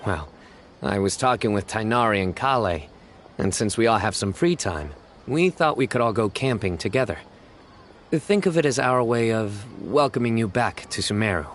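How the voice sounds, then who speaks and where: A man voicing an earnest, composed young man in a video game, with a measured, formal, deadpan delivery. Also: on the low side, a little hiss or hum, natural voice. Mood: relaxed.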